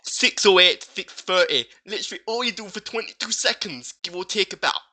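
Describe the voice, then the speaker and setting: A woman's voice: medium pitch, lively intonation, fairly quick, pushed and bright. A woman co-host reading gamer forum posts aloud for comedy, with a mock-serious, exaggerated delivery.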